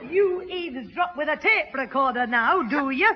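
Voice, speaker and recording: An actress playing an indignant, sharp-tongued mother, scolding and affronted in a 1960s radio sitcom. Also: high-pitched, expressive, moderate pace, slight background noise. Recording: dull 1960s radio recording.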